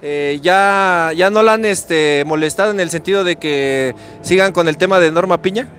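A man with a central Mexican accent and a notably high voice interviews someone informally outdoors on a city street. His questions come out halting and roundabout. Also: fairly bright tone, faint music behind. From Texcoco, central Mexico.

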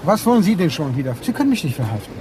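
An actor playing a powerful, smug arms dealer in a crime radio drama, condescending and self-assured. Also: male voice, fairly high pitch, big pitch swings, rapid-fire, dark tone. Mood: defiant. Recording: clear background outdoor and vehicle noise.

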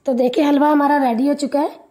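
Woman cooking-channel host, high pitch, warm mellow tone, calm step-by-step tutorial voice-over. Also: fast.

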